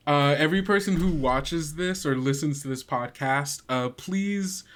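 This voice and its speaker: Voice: mid-range voice, a little slow. Joking, informal podcast game master. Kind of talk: casual podcast chat and game narration.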